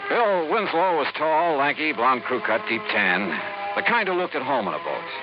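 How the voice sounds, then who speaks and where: A muffled old radio broadcast with music behind it. a male investigator, cool and observant, with a lively swing in his wry, clipped delivery. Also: a little high, moderate pace, gravelly. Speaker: lead actor and narrator. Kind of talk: mid-century radio detective drama acting.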